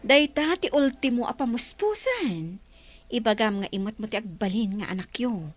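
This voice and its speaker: A woman's voice: fairly high pitch, animated, moderate pace. A woman playing a conniving friend who gives sly advice in an Ilocano radio drama, with an Ilocano accent. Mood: sly, coaxing. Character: conniving female friend coaching a deception.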